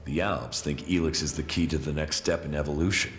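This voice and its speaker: An actor playing a grim, emotionally detached former commander in a video game, speaking in a terse deadpan with a gravelly voice. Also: male voice, very deep voice, a little fast, a little hiss or hum. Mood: guarded, questioning. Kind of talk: video game cutscene acting. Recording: echoey reverb, music behind.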